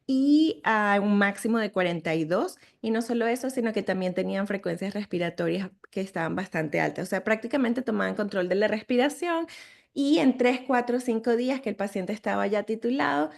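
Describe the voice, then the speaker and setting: A middle-aged woman with a Venezuelan Spanish accent explains medical studies in a webinar. Her voice sits at an ordinary pitch and carries a gravelly fry. She talks conversationally, with frequent fillers and restarts. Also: fairly quick. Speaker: sleep medicine physician lecturing. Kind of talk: webinar lecture.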